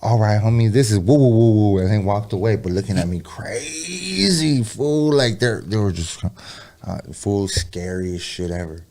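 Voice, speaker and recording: A man's voice: on the low side, lively intonation, normal speed, warm tone. A man in his thirties from California, a rapper and producer, chatting casually on a podcast while tipsy, with loose, profane street slang. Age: 30s.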